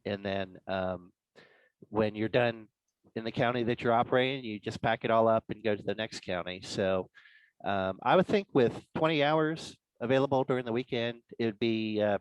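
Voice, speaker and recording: A man giving an informal, explanatory presentation as a guest speaker on an online call, in a halting way. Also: lowish voice, clean tone, dry recording, rough recording. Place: online club meeting.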